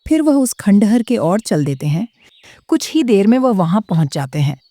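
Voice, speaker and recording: Expressive, mellow woman storyteller. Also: mid-range voice, moderate pace, dry recording. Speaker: narrator. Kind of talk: scripted horror story narration.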